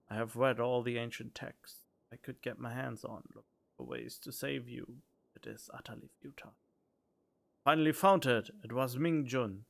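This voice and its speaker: A man voicing a desperate, grieving husband and father, reading game text theatrically, swinging between loud and hushed. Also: mid-range voice, natural voice. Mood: despairing. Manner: dramatic read-aloud with loud outbursts and hushed moments. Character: grief-stricken, desperate husband and father, pleading.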